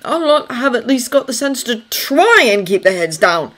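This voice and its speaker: A woman's voice: on the high side, big pitch swings, normal speed, fairly bright tone, voice pushed up. A grieving young servant man, acted by a woman narrator, speaks in raised, agitated tones. He is cross and bitter, throwing out curt British slang with a sarcastic edge.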